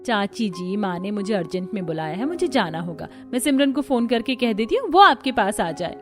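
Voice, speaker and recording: A woman's voice: mid-range voice, big pitch swings, fairly quick, fairly bright tone, faint music behind. A woman voicing a caring, respectful young female cousin in a cartoon story, with a soothing, eager manner. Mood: hurried. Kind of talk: Hindi cartoon story acting.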